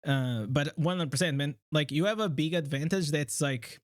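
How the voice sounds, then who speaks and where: A Portuguese man chats on his livestream with a noticeable accent and a voice pitched a little high. He talks in halting bursts, restarting often and padding with fillers. The sound is dry and close. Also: no room sound. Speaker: streamer host. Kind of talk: casual livestream chat.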